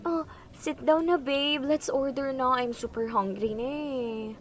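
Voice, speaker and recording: Hesitant young Filipina. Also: female voice, high voice, steady tone. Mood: sweet. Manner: hesitant, halting, polite. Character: awkward evasive young woman. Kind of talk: radio drama acting.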